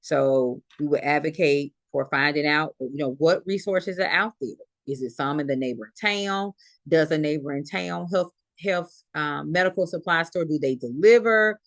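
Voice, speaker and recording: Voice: mid-range voice, moderate pace, fairly bright tone, no room sound. A nursing instructor giving a recorded classroom lecture in a conversational way, restating each point and using many fillers.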